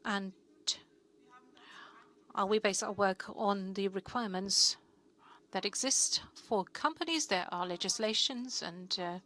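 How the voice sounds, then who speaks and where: A woman interprets a talk simultaneously, with a bright, forward tone. She speaks in short, steady chunks into a close booth mic. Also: mid-range voice, a little slow, long pauses.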